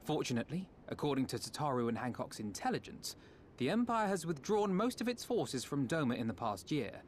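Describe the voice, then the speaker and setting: Expressive, erudite male strategist played by an actor. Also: mid-range voice, a little fast, a little hiss or hum, lightly acted. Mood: measured explaining. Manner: precise, erudite, formal. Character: articulate, scholarly male strategist, wry and composed.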